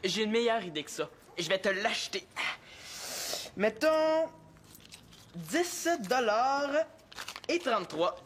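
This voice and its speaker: A man's voice: high-pitched, lively intonation, slow pace, pauses often, a bit bright, a little hiss or hum, heavily acted. An actor playing a young guy who jokes and wheedles in a sitcom. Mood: joking. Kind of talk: sitcom acting.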